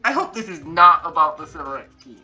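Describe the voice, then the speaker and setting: A woman's voice: low-pitched, sing-song, normal speed, pushed and bright, lo-fi sound, soft background music, full character voice. A woman acting a bossy, scolding dance coach in a voiced roleplay series, emphatic and demanding.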